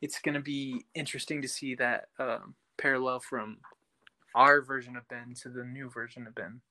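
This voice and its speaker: A young man with a bright, forward voice at an average pitch. He speaks as a podcast co-host in casual discussion, explaining his opinions step by step. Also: steady tone, moderate pace, poor audio. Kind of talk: casual panel chat about TV.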